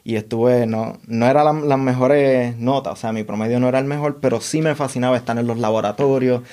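A man's voice: mid-range voice, steady tone, fairly quick, mellow. A young man from Puerto Rico, a tech consultant guest, talking casually in an interview with frequent restarts and repeated words.

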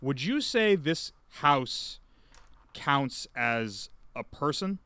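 Bright, animated man playing a tabletop game. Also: mid-range voice, drawn-out words, clean tone, no echo. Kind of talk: casual in-character game chat.

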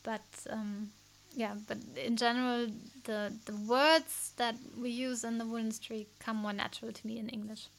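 A woman's voice: on the high side, fairly even intonation, normal speed, vocal fry, low-quality recording. A woman with a German accent, a podcast host chatting casually and searching for words in English. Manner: thoughtful, hesitant, many fillers. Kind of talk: casual interview chat.

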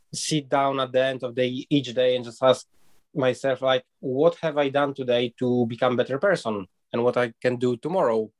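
A man speaks as a guest on a reflective panel. His voice sits at a middle pitch, and he talks in a thoughtful, hesitant way, stopping often to search for the right words. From Poland. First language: Polish. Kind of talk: reflective discussion.

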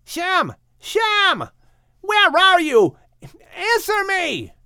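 A man's voice: extremely high pitch, wildly swooping pitch, very slow, bright, forceful tone, dry recording, clean studio sound, voice pushed up, full character voice. A man voicing an anxious young man in an audiobook adventure, calling out urgently. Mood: urgent, alarmed.

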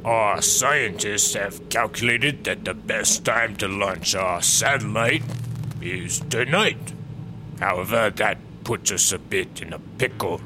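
A man's voice: on the low side, takes it slowly, noisy background. A man playing a polite, wheedling synagogue conspirator in a comic audio drama, speaking in a courteous, insinuating way. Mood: politely insinuating.

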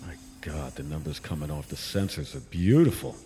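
Deep mellow lead scientist, wry and careful, lively intonation, big reverb. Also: male voice, normal speed, soft background music, heavily acted. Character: cautious, dry-humoured male scientist team leader. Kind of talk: audio drama acting. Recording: long reverb, faint background noise.

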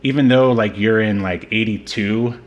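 A man hosting a podcast, chatting casually about films in a rambling, halting way. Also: on the low side, highs cut off. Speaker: podcast host. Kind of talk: casual film discussion podcast.

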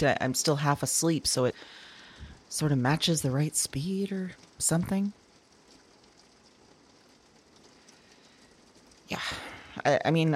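An actress playing a sleepless, haunted woman who confides her dreams to an audio diary, halting and drowsy, sometimes slipping toward a whisper. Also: deep voice, a little mellow, slight background noise. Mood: drowsy, hesitant. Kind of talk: intimate audio drama monologue as recorded diary.

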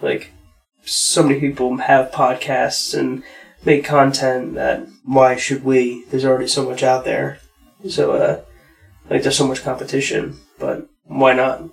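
A man co-hosting a podcast, talking in a relaxed, reflective and plain way. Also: mid-range voice, a little slow, vocal fry, poor audio. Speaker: podcast co-host. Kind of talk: casual conversation.

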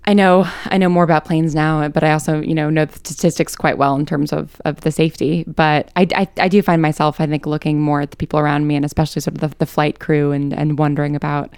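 A woman with a low voice and a good deal of vocal fry. The sound is clear and very dry, and she chats casually as an interview guest. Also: flat delivery, fast, few pauses, a little mellow, dry recording. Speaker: interview guest, podcast writer. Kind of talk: casual conversation.

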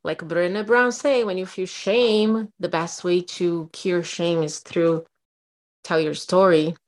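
A woman with a Brazilian Portuguese accent talks candidly on a podcast, her voice sitting low. She tells her story openly, leaning on fillers like "you know" and "like.". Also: steady tone, slow. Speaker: podcast co-host. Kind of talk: casual chat.

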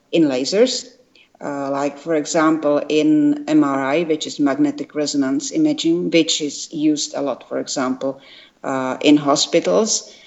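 A retired woman from Czechoslovakia with a Czech accent, explaining science carefully with frequent pauses and fillers. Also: low-pitched, a little mellow. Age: older. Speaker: guest, retired quantum chemist. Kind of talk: interview answers. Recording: dull lo-fi sound.

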